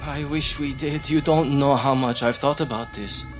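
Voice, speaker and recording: A man playing a steady, experienced military doctor who speaks with calm, earnest reassurance in an audiobook drama. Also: medium pitch, moderate pace, music in the background, lightly acted. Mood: regretful.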